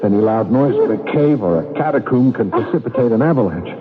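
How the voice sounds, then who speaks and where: An actor playing an earnest, plucky young hero in vintage radio drama, plain-spoken with dramatic delivery. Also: male voice, medium pitch, expressive, quick pace, a little hiss or hum, close to an everyday voice. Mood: relieved, matter-of-fact. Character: earnest, plucky male hero, murdered professor's son. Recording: tinny, echoing old radio recording.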